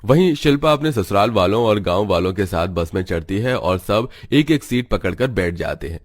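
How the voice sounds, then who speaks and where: A man's voice: fairly low pitch, animated, normal speed, no room sound, clean studio sound. A man reading scripted story narration for a cartoon.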